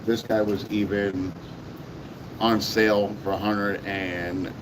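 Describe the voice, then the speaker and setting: A man with a sore, hoarse voice chatting casually about toy collecting, rambling with many fillers and repeats. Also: fairly low pitch, little pitch movement, slow pace, noisy background. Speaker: toy reviewer. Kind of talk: casual vlog chat.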